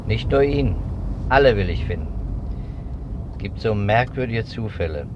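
An actor playing a methodical, suspicious investigator in a 1950s German radio drama, speaking deliberately in emphatic, clipped phrases. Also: male voice, lowish voice, animated, close to an everyday voice. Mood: intent, brooding. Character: persistent adult male investigator, methodical and suspicious. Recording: dull, noisy 1950s radio recording.

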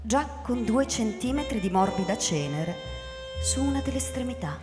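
A woman giving theatrical, expressive literary narration for a radio drama. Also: on the high side, moderate pace. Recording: echoey, long reverb, music underneath. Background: music.

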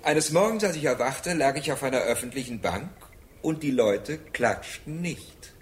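An actor in a radio drama playing a faded stage star who mourns past glory in brooding, declamatory speech. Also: male voice, medium pitch, expressive, moderate pace, slightly rough. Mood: wistful, agitated. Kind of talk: theatrical radio drama monologue. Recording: roomy echo with faint noise.

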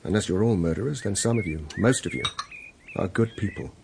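Low-voiced, insistent doctor turned investigator. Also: male voice, vocal fry, background noise. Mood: grave, insistent. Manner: measured, insistent questioning. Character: earnest, dogged male doctor investigating an old murder. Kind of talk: radio drama acting.

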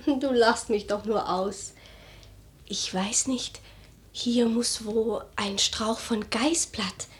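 Slow, wistful young maidservant. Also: female voice, a little high, fairly even intonation. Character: homesick, lovesick young country maidservant. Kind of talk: 1940s German radio play acting in verse. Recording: vintage 1949 radio recording.

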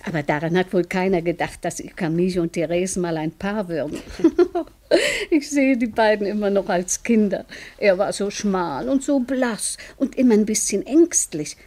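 An actress playing a chatty, polite older neighbour in a shop, genteel and gossipy, in vintage radio drama style. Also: female voice, medium pitch, normal speed, mellow, natural voice. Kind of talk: vintage German radio play acting. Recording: old radio play with music behind.

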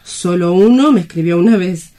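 A woman's voice: lowish voice, moderate pace, dark tone, lightly acted. A woman acting a frightened, desperate young woman worn down by loneliness, in dramatic radio-play style. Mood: bitter, sorrowful.

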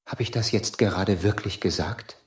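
A man playing a reasonable, conciliatory space traveler in an audio drama, speaking in a measured, earnest way. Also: fairly low pitch, natural voice. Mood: earnest, dry. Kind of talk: scripted audio drama acting. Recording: echoey room.

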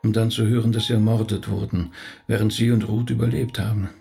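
An actor playing a thoughtful, courteous middle-aged rabbi in a radio play, with a gravelly, husky voice and a hesitant way of talking. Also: male voice, lowish voice, level tone, fairly quick, warm tone, soft background music, exaggerated voice. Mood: reflective. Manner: reflective, hesitant, polite.

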